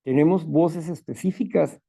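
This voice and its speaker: Gravelly-voiced man with a strong voice. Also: fairly high pitch, normal speed. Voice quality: strong loud voice. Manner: sounds stern without being angry; repeats phrases, asks listeners "¿no?" and "¿verdad?". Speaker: group leader. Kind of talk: spiritual talk and prayer.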